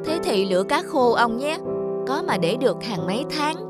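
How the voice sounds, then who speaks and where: A woman's voice: on the high side, lively intonation, moderate pace, natural voice. A woman voicing a timid, crafty young male servant in a cartoon, deferential and pleading. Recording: studio voice with loud music.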